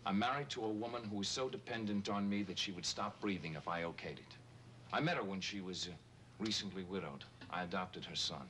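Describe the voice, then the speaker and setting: Fed-up forty-year-old husband, American, lowish pitch, sardonic and weary, 1970s TV drama, faint noise. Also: male voice, fairly quick, fairly bright tone. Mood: wry, weary. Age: forties. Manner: sarcastic, weary. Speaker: actor in a television film. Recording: noisy old TV film soundtrack.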